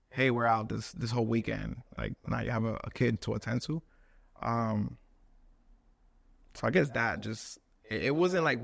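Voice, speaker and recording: A man in his thirties, a studio owner, talking casually as a podcast guest in a relaxed, rambling way with many fillers. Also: mid-range voice, gravelly, no echo. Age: 30s. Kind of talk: casual interview chat.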